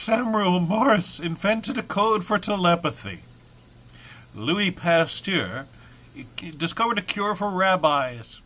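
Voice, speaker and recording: A man's voice: high voice, expressive, takes it slowly, sharp tone. A man giving a dramatic comic reading of a humorous text, mock-serious in delivery with dry muttered asides. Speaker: reader.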